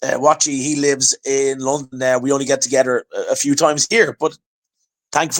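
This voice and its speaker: An Irish man chatting as a podcast guest, telling stories in an animated way and often restarting his words. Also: medium pitch, normal speed. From Ireland. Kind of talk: casual chat.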